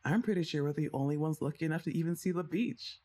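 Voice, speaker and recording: A woman's voice: low voice, fast, dark tone. A young woman voicing her best friend's older sister in an ASMR roleplay, chatting and teasing the listener and laughing often. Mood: playful. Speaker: voice actress.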